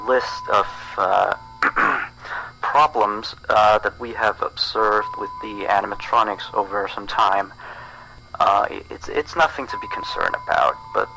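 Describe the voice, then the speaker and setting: A man playing an awkward, nervously reassuring employee leaving a rambling, stammering phone message in a horror game. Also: lowish voice. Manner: hesitant, stammering, rambling, downplaying. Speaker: night-shift phone guide in a horror game. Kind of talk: recorded phone message, acted as casual rambling. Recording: phone call over background music.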